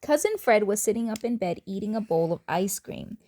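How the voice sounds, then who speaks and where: A woman's voice: medium pitch, expressive, fairly quick, mellow. A woman reading a picture book aloud to children, with chatty asides to them.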